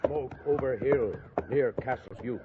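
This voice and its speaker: A man's voice: medium pitch, a little slow, natural voice. A man playing a stoic, laconic Crow scout in a 1950s radio western, speaking clipped broken English. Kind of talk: 1950s radio drama acting. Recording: old radio, narrow band, noisy.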